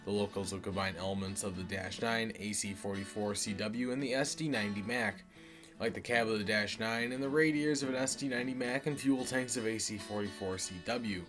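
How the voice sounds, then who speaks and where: A man's voice: lowish voice, fairly even intonation, a little fast, music in the background. An American man hosting a video series, telling stories and chatting casually to viewers in a folksy way. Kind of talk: casual scripted storytelling and chat to viewers.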